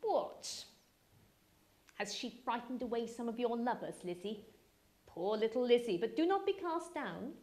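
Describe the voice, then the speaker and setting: A woman voicing an older Regency father in a storybook reading, sardonic, deliberate and unruffled. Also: fairly high pitch, lively intonation, a little mellow. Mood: mock sympathy.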